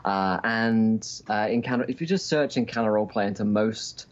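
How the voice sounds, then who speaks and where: A man's voice: lowish voice, normal speed. A young man chatting casually as an interview guest calling in remotely, on dull lo-fi audio. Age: young adult. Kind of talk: casual chat.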